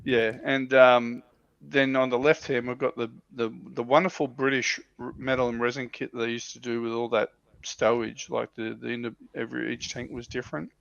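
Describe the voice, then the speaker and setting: Male hobby-stream panelist, casual and hesitant, gravelly edge, dry dull recording. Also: mid-range voice, flat delivery, moderate pace, a bit bright, no echo. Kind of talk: casual chat about miniature wargaming. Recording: dull, muffled lo-fi audio.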